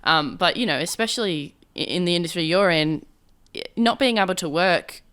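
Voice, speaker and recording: A woman's voice: fairly low pitch, lively intonation, normal speed, a bit bright, smooth. A woman from Queensland hosting a casual podcast interview, chatty and rambling with restarts. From Queensland, Australia. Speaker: podcast host. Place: small office studio.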